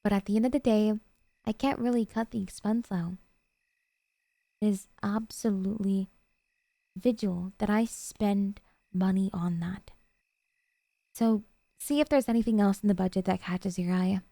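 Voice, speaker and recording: A woman playing a doting, jealous yandere girlfriend in an ASMR roleplay, coaxing and sweetly earnest with hesitant pauses. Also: medium pitch, vocal fry, dark tone, no room sound. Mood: earnest, possessive.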